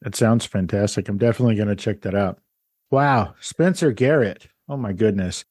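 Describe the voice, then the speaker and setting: A man's voice: lowish voice, moderate pace, darkish tone, husky, no echo, clean studio sound. A man hosting a podcast interview, friendly and joking with his guest. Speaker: podcast host. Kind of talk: casual interview chat.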